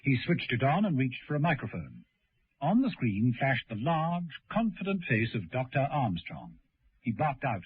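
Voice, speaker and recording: A man's voice: mid-range voice, very animated, normal speed, husky. A man giving scripted narration for a radio drama. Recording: tinny, noisy old radio recording.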